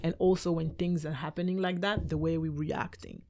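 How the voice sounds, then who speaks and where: A young woman raised in Belgium, a French native speaker, chatting as a guest on a podcast in a small studio, animated and gesturing with her hands. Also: sits low, slight background noise. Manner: animated, talks with her hands, quick laughs. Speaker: guest, choreographer and dancer. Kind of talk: casual interview chat. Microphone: studio mic on boom arm.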